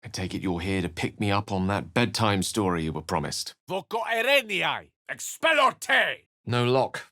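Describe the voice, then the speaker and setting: A man's voice: lowish voice, fairly bright tone, no echo, clean studio sound. A man voicing an eloquent, bookish wizard in a fantasy video game, wry and courteous, with articulate, dramatic phrasing. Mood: wry, musing, then intense incantation, then wary. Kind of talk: theatrical fantasy game dialogue and storybook-like narration.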